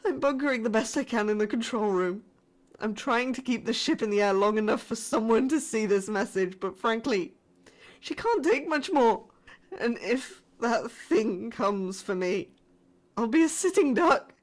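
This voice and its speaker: A woman playing a frightened, pleading yet defiant spaceship crew member in a horror sci-fi audio drama, with halting, emotional delivery. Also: a little high, lively intonation, lightly acted. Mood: tearful dread.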